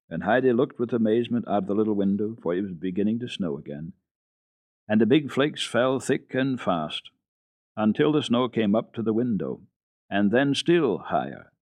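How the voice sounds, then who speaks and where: A man giving an unhurried, expressive dramatic reading of a classic children's book for radio, with the odd hesitation. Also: fairly low pitch, dry recording, narrow, tinny audio. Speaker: storyteller on a children's radio program.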